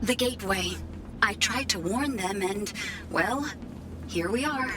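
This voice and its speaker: A woman's voice: mid-range voice, unhurried, noisy background, close to an everyday voice. A woman voicing a curious, politely eccentric machine caretaker in a video game, precise, chatty and whimsical. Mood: rueful. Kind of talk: video game cutscene acting. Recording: distant, under loud music.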